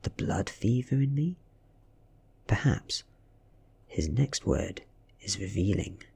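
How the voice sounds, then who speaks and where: A British man reads fiction in a soft ASMR style, breathy and half-whispered. His delivery is slow and hushed, with dramatic rises and falls in pitch as he tells the story. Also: lively intonation. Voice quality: breathy, often dropping into a whisper. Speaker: audiobook-style reader.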